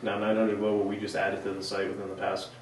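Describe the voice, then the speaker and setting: A man's voice: fairly low pitch, monotone, fast talker, a little hiss or hum. Guy in his 30s pushing a shoe sale, far off mic, lots of echo. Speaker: co-host. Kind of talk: casual promo talk. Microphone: far camera. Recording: echoey room from a distant mic.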